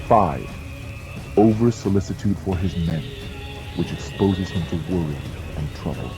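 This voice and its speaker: A man narrating a dramatized audiobook in a solemn, measured, emphatic manner. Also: low-pitched, low-quality recording.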